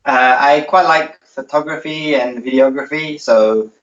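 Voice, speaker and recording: A man's voice: mid-range voice, unhurried, fairly bright tone. A man from London with a London accent, chatting casually as an interview guest. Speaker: interview guest, dance instructor. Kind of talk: casual chat. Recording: roomy, distant, dull lo-fi audio.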